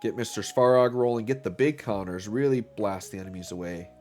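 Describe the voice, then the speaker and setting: A man's voice: on the low side, brisk, darkish tone, faint music behind. Stuffy-voiced man narrating gameplay. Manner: upbeat, filler-heavy, talks while playing. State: slightly stuffy from an illness. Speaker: gaming channel host. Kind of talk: casual gameplay commentary.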